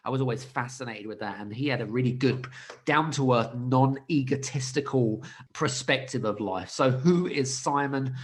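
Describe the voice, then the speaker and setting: Energetic male podcast host. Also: mid-range voice, a little hiss or hum. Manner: lively, emphatic, loud greetings with halting restarts. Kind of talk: enthusiastic podcast interview.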